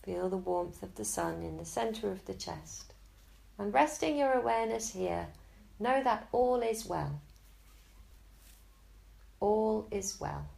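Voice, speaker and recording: A woman guiding a Yoga Nidra relaxation in a slow, soft and soothing way. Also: mid-range voice, vocal fry. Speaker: meditation guide.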